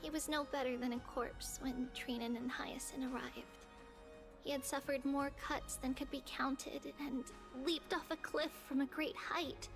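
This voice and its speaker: Gentle young woman, measured, high voice, game music. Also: gravelly.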